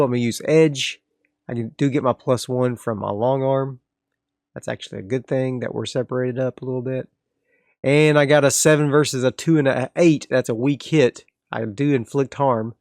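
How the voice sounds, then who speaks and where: Gravelly man narrating a solo game. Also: medium pitch, no room sound, clean studio sound. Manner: casual, narrates moves and reads rules aloud. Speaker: host and solo player of a tabletop role-playing game. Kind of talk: solo tabletop actual-play commentary, thinking aloud.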